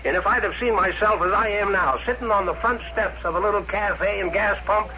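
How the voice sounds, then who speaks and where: A man's voice: high-pitched, brisk, pushed and bright. An actor playing a greedy, bossy middle-aged father who runs a desert gas stop, with folksy rural talk, in 1950s radio drama style. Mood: folksy teasing. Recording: muffled noisy vintage radio recording.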